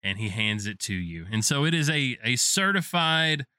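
A man's voice: medium pitch, big pitch swings, normal speed, sharp tone, no echo, studio quality. A man acting as game master, narrating a tabletop roleplaying scene in casual, improvised style.

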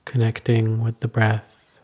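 Slow, soft-edged man guiding meditation. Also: on the low side, completely flat delivery. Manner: soothing, unhurried, with long pauses between short phrases. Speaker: meditation teacher. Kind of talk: guided meditation.

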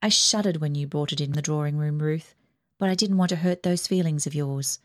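A woman reading aloud, voicing a gentle middle-aged father in a measured, hesitant way, close to her own voice. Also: low voice, no echo, lightly acted. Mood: earnest.

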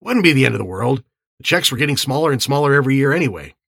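A man voicing an indignant, self-assured man with a brisk, affronted manner in an audiobook. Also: mid-range voice, very animated, vocal fry, fairly bright tone, dry recording, studio quality, voice pushed up, heavily acted. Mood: amused, smug.

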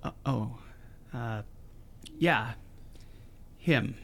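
An actress playing a protective, guarded woman doctor in a fiction podcast, with a halting delivery. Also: very deep voice, slight background noise, heavily acted. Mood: uneasy, guarded. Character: protective, guarded telepathic woman doctor, quietly hurt and angry. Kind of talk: scripted fiction podcast acting.

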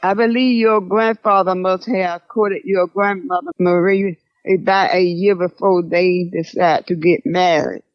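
A woman's voice: lowish voice, fairly even intonation, unhurried, few pauses, dry recording, soft background music, natural voice. A woman playing a troubled older grandmother, reflective and formal, in an audio drama with slightly muffled sound. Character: troubled grandmother recalling her mother's death.

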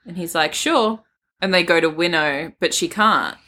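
A woman's voice: on the low side, normal speed, sharp tone. A woman co-hosting a casual podcast book discussion, jokey and slangy, often acting out characters' lines. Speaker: podcast co-host.

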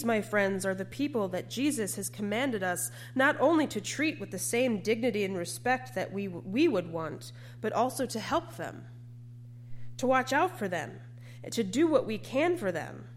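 Higher-voiced woman preaching over background hum. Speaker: preacher giving a sermon. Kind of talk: scripted sermon.

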